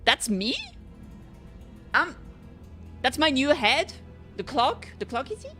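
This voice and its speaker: A woman's voice: high voice, very animated, slow pace, pushed and bright, faint music behind. A young woman streaming a game, reading the subtitles aloud with playful chatter. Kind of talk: casual gameplay commentary.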